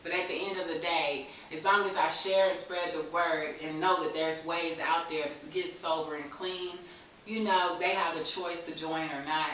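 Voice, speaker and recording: A woman's voice: low-pitched, steady tone, normal speed, fairly bright tone. A woman in her thirties sharing a candid personal story in an informal, conversational way as an interview guest. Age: 30s. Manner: informal vernacular speech with frequent "you know". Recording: echoey, distant mic, faint hiss.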